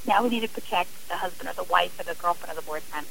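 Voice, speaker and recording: A woman's voice: lowish voice, fast, vocal fry, fairly bright tone, a little hiss or hum, rough recording. A middle-aged woman speaking as an interview guest, earnest and emphatic in a conversational way. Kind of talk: personal account in a radio interview.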